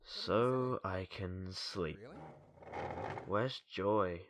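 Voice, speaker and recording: Man doing grumpy sleepy student guy, low voice, slow and deadpan. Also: a little hiss or hum, heavily acted. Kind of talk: audio drama acting.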